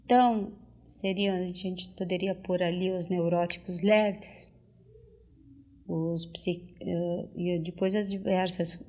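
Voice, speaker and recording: An older woman, recalling things as an interviewee and often pausing to search for words and restart. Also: fairly low pitch, fairly even intonation, dark tone. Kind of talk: casual spoken reminiscence. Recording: dull lo-fi tape recording.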